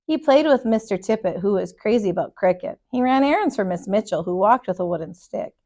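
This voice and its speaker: A woman reading a children's storybook aloud with deliberate, emphatic, expressive storytelling. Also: mid-range voice. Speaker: storybook reader, kindergarten teacher.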